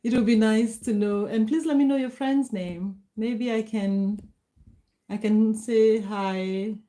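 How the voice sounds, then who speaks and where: Brief: middle-aged Kenyan-accented woman, Kikuyu native, livestream host; warm, grateful chat; mellow tone. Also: a little high, steady tone, takes it slowly. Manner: warm, grateful, greets viewers by name. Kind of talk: casual live chat reading comments.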